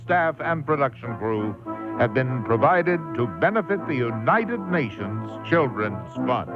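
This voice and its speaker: A man's voice: medium pitch, sing-song, measured pace. A man reading a scripted broadcast announcement as a radio network announcer. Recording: dull old radio, loud music.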